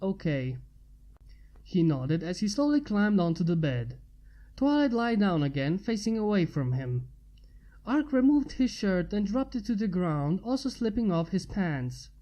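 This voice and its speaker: A woman's voice: mid-range voice, very animated, normal speed, mellow. A woman reading a scripted story as its narrator. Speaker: fan fiction narrator.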